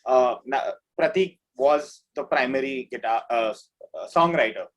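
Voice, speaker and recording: A man's voice: mid-range voice, moderate pace. A man speaking as an interview guest, explaining things in an informal, conversational way. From India. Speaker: band drummer, interview guest. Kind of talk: casual interview chat.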